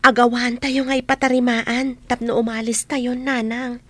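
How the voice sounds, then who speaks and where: High-voiced, eager Ilocano youngster. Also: female voice, flat delivery, moderate pace, fairly bright tone, dry recording. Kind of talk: Ilocano radio drama acting.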